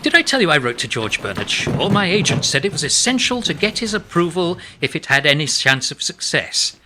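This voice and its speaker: An actor playing an earnest, poetic English playwright and former army captain of the 1920s in a radio play, speaking in a lyrical, reflective, articulate way. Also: male voice, fairly high pitch, sing-song, a little fast, background noise. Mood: wry.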